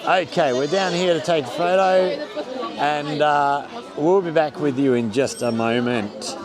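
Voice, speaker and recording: An easygoing Australian man talks his viewers through an outdoor festival, chatting as he strolls. His voice sits a little high for a man. Loud crowd chatter fills the background. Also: measured pace. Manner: chatty, easygoing tour-guide commentary. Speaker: vlogger. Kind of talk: casual walk-and-talk vlog narration. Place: outdoors at a busy public festival.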